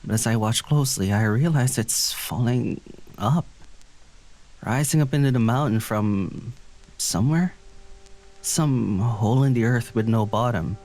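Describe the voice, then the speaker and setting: A man acts out a tired poet stuck in a creative slump. He has a middle-pitched voice, understated and soft-spoken. He sounds withdrawn and turned inward, in the style of an intimate audio drama. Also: a little slow, close to an everyday voice. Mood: wistful, introspective. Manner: hesitant, introspective. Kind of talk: audio drama dialogue and introspective narration.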